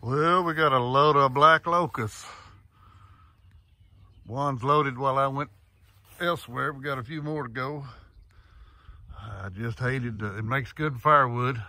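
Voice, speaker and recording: A man's voice: medium pitch, unhurried, long pauses, no echo. A man narrating his own farm work video outdoors, explaining in a plain, matter-of-fact way. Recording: loud outdoor machinery and wind noise.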